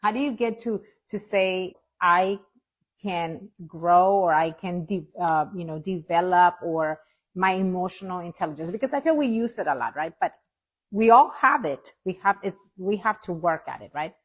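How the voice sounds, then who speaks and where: A woman's voice: lowish voice, normal speed. A woman hosting a casual interview, reflective and thinking aloud with frequent fillers. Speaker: host.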